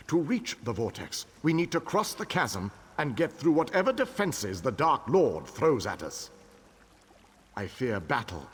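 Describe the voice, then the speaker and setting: In a long, ringing hall, a noble fantasy game king with a husky, higher-set voice. He speaks slowly and formally, solemn and gracious, with stately swells as he rallies allies. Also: heavily acted. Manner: stately, measured, formal oratory. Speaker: war leader king. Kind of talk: dramatic fantasy game dialogue.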